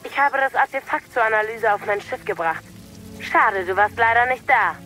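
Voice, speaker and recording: A woman's voice: on the high side, animated, moderate pace, pushed and bright, faint music behind, low-quality recording. An actress playing a cold, arrogant female Inquisitor in a German audio drama, taunting and condescending.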